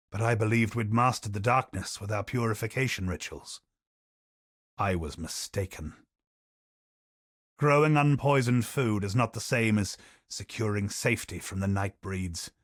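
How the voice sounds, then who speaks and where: A man brings to life a weary knight commander for a game, grave and brooding as he records his journal. His voice sits on the lower side and every line comes measured and solemn. The studio sound is dry and close, with no room around it. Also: no room sound, close to an everyday voice. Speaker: voice actor reading in-game lore. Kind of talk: scripted game narration. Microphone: studio voice-over.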